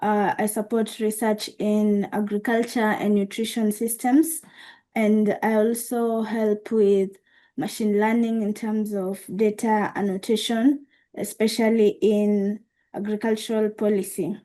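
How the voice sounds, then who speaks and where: Woman researcher saying hi on a call, mellow, fairly flat voice. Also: mid-range voice, a little slow. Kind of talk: self-introduction in meeting.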